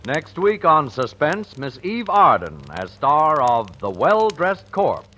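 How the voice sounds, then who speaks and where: A man announcing a mid-century radio suspense show in a formal, dramatic, measured manner. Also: fairly high pitch, big pitch swings, pushed and bright. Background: music.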